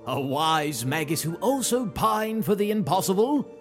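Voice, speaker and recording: An actor playing an eccentric, reckless wizard mentor who laughs often and speaks grandly. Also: male voice, high voice, very animated, unhurried, a bit bright, soft background music, exaggerated voice. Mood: gleeful. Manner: theatrical, giggly, grandiose. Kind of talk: fantasy audio drama acting.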